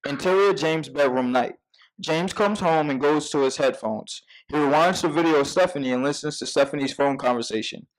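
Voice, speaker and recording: Higher-voiced man narrating a screenplay. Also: brisk, low-quality recording. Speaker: narrator at a script table read.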